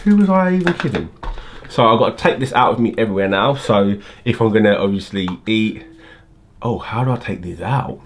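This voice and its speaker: Guy in his 30s, lowish voice, slight lisp from new aligners, chatty, filming at home by a window. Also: expressive, brisk, slight background noise. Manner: chatty, talks while fiddling with his teeth. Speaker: vlogger. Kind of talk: casual unboxing vlog.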